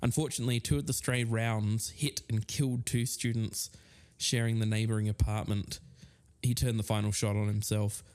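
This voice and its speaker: Casting: man, lowish pitch, podcast co-host narrating and reading quotes. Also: level tone, moderate pace, darkish tone, clean tone.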